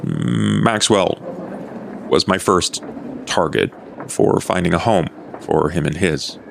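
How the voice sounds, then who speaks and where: A man voicing a courteous, measured old vampire in a tabletop roleplay podcast, speaking formally and deliberately. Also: big pitch swings, slight background noise, heavily acted. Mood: measured, explaining. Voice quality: low, gravelly. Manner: formal, deliberate, understated phrasing.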